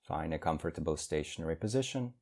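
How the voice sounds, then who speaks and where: A man leading a guided meditation as a teacher, speaking in measured, instructional phrases with long pauses between them. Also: very deep voice, animated, darkish tone.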